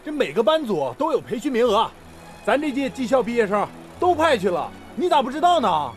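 A man acting a friendly, excitable young factory workmate in a radio drama, chummy and exclamatory. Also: very high-pitched, a little fast. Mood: surprised. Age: young adult.

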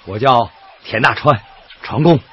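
A man's voice: medium pitch, a little slow, a bit bright, breathy edge. A man acting as a plain-spoken, earnest working-class boatman in a radio drama, talking in a folksy, colloquial way. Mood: friendly, humble. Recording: dull band-limited radio drama with music.

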